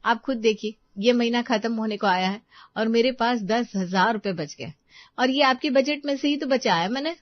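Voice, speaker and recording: A woman playing a thrifty, practical young daughter-in-law who talks briskly and defensively in a family story. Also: on the high side, no room sound, highs cut off, lightly acted. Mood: proud.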